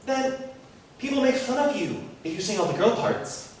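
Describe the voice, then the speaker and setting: A man's voice: very high voice, big pitch swings, normal speed, a little hiss or hum. A man performing a reflective spoken monologue in an earnest storytelling manner. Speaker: performer and music educator. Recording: echoey room, mic far off, faint music.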